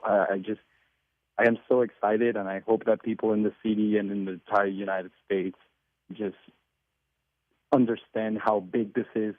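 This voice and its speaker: Male caller from Venezuela, earnest, low monotone, tinny phone sound. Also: dry recording. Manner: earnest and excited, with restarts. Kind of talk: phone-in call. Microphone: telephone.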